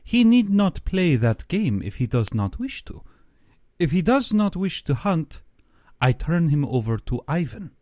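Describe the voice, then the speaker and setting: A man's voice: fairly high pitch, sing-song, a little slow, a little mellow, dry recording, clean studio sound, voice pushed up. Man voicing a pompous, swooping aristocratic general. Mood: smooth menace. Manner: pompous, exaggeratedly courteous. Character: genteel, menacing aristocratic general who hunts men.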